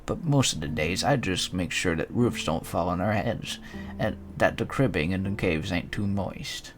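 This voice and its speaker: A man's voice: low voice, animated, normal speed, over music. A man voicing an elderly, matter-of-fact village woman with folksy, rustic phrasing in a fantasy game reading. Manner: folksy phrasing with tag endings.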